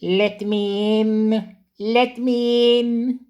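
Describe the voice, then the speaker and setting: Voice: medium pitch, flat delivery, very slow, a little mellow, voice pushed up. A storyteller voicing a cheeky small young male engine who pretends to be a ghost, in a spooky, teasing voice.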